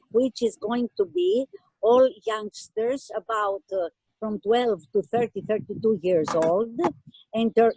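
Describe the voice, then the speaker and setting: A woman hosting an online business gala, talking haltingly with many fillers and restarts. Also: mid-range voice, warm tone, dry recording, low-quality recording. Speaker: host of a women's business gala. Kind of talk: hesitant speech on a video call. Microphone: video call.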